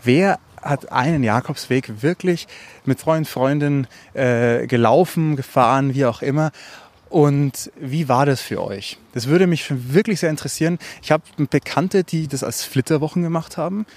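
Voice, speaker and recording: A man with a mid-pitched voice hosts a relaxed, conversational podcast. He talks informally, working out his thoughts as he goes and speaking straight to his listeners while out walking. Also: moderate pace. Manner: informal, thinking aloud, addressing listeners directly. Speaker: podcast host. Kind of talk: casual conversational podcast chat. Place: recorded while walking along.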